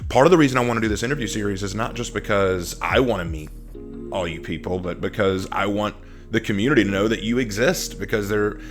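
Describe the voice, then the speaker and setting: A man's voice: on the low side, fast talker, music in the background. A man hosting a gaming interview in a chatty, self-effacing, casual way. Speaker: host of a gaming interview series.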